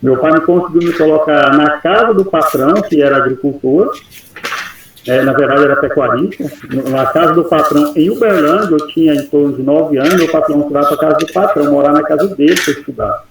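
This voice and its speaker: Man in his fifties, gravelly, somewhat high voice, rambling folksy storytelling, very echoey room, faint hiss. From Goiás, Brazil. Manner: unhurried, rambling, folksy storytelling with soft laughs. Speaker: mentee teacher. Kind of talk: personal life story in an online meeting.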